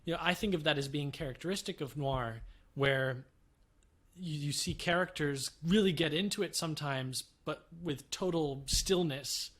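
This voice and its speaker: A man on a podcast panel discussing film, reflective and quick to agree. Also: fairly high pitch, fairly bright tone. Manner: reflective, agreeable, frequent backchannels.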